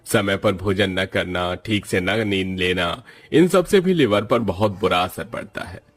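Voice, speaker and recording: A man voicing a calm, grave family doctor who speaks in a measured, deliberate way in a story. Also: sits low.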